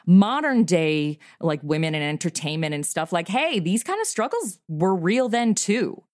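Middle-aged female interview guest, slightly low register, conversational delivery, dry sound. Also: big pitch swings, normal speed, darkish tone, no room sound. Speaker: guest, audio comedy writer and performer. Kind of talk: casual interview chat.

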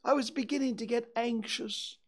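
A storyteller voicing a gentle, slow-witted male toy bear who talks slowly and stammers. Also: medium pitch, steady tone, slightly rough, voice pushed up, lightly acted. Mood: anxious. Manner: slow, ponderous, hesitant with stammers. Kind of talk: storybook reading.

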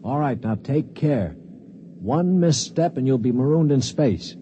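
An actor playing a cynical, commanding space prisoner who also narrates, in a 1950s radio drama. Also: male voice, mid-range voice, expressive, takes it slowly, noisy background. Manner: brusque, commanding. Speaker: narrator and lead. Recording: dull old radio broadcast.

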